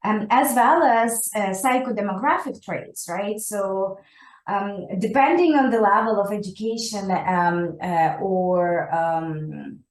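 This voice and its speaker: A woman's voice: medium pitch, slow, echoey room. A woman whose first language is Ukrainian, a scientist answering interview questions in a thoughtful way, with many fillers and restarts, often ending points with "right?". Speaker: guest scientist.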